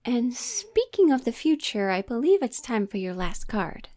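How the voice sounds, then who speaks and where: A woman playing a witch who reads tarot in an audio roleplay, mysterious and teasing, speaking deliberately and theatrically to the listener. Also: fairly high pitch, sing-song, a little mellow, dry recording. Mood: encouraging, playful. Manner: slow, deliberate, theatrical, with pondering pauses.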